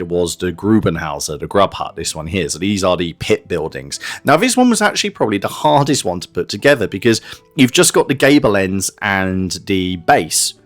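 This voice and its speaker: A man hosting a hobby channel, talking viewers through a casual hands-on review in an informal, explanatory way. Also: low-pitched. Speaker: hobby channel host reviewing tabletop terrain.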